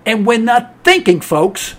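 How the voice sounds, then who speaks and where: A man's voice: very high-pitched, big pitch swings, measured pace, fairly bright tone. A man with a New York accent hosting a talk program, delivering a cynical political rant. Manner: cynical, ranting. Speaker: radio talk host.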